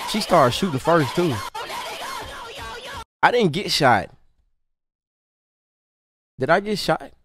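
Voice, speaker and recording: A man livestreaming a roleplay game, commenting excitedly in boastful, slangy, profane casual talk. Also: fairly high pitch, slow pace, faint music behind. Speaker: live game streamer.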